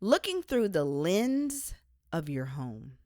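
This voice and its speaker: Slow, deliberate woman reading a devotional. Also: on the low side, big pitch swings, dark tone, no room sound, studio quality. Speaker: author reading their own book aloud. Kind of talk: devotional audiobook narration.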